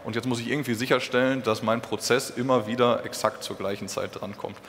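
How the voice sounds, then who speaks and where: A man's voice: medium pitch, fairly even intonation, fairly quick, fairly bright tone. A young adult man giving a technical conference presentation in an explanatory, informal way. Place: stage in a concrete-walled event hall. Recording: echoey hall with clear background noise.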